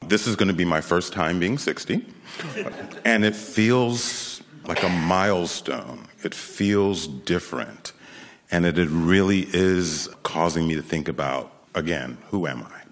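An American man in his late fifties telling a personal story to an audience in a reflective, conversational way, with pauses for emphasis. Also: low voice. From Rochester, New York, United States. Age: late 50s, nearly sixty.

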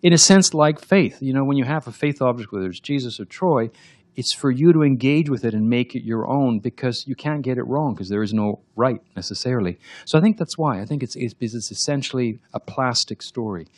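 A novelist whose first language is French, answering audience questions in a reflective way with frequent restarts. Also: male voice, medium pitch, brisk, darkish tone, dry recording. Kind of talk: talk and Q&A.

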